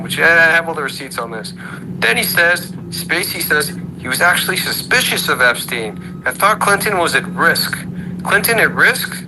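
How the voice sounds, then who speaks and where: A man's voice: a little high, creaky, noisy background. A man hosting an online audio room, delivering a sarcastic monologue full of rhetorical questions. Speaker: host of an audio chat room. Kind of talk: ranting monologue.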